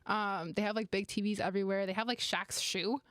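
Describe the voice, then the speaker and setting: A woman's voice: mid-range voice, steady tone, brisk, a bit bright, dry recording, studio quality. A young woman whose first language is Romanian, chatting casually as an interview guest with lots of fillers. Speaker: sports media guest.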